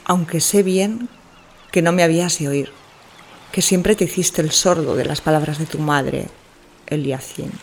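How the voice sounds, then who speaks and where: Slow, theatrical mother in a literary monologue acted by a woman. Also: low voice, slight background noise. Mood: tender, yearning. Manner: slow, theatrical, hushed and intimate. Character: Mrs. Caldwell, obsessive grieving mother addressing her son. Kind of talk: dramatic literary monologue, audio fiction.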